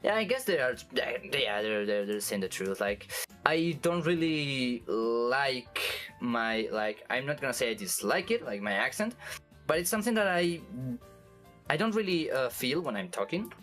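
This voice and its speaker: A native Spanish speaker with a Spanish accent, a guest in a casual interview, often pausing and restarting to find English words. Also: mid-range voice, big pitch swings, fairly bright tone, soft background music. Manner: searching for words, halting restarts. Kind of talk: casual chat.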